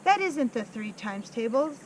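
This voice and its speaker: A frightened, flustered young schoolboy voiced by a woman reading a storybook yelps in a very high, strained voice. He sounds close to tears with panic, over a faint noisy hiss. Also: sing-song, a little slow. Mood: panicked.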